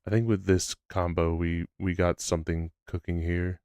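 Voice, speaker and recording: A man with a low voice chatting casually on a live stream, rambling and self-deprecating. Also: level tone, normal speed, warm tone, clear, resonant tone, no room sound, dull audio. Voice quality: low-pitched voice. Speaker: livestreamer. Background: background music.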